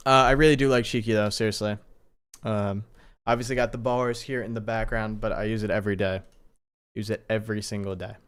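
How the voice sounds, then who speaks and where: A man's voice: lowish voice, clear, resonant tone. A man hosting a livestream, chatting casually and informally in slangy, appreciative asides to his viewers. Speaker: live streamer and host.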